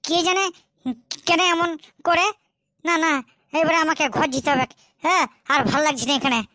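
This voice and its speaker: Cartoon village husband: very high, sharp voice, pleading and hapless. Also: moderate pace. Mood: glum. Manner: whining, pleading, hesitant. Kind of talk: comic cartoon dubbing.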